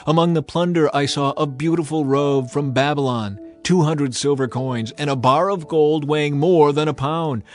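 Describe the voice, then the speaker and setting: A man's voice: on the high side, steady tone, normal speed, few pauses, voice pushed up, lightly acted. A man playing a guilty Israelite who earnestly confesses his sin in a dramatized Bible reading. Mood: guilty, pleading.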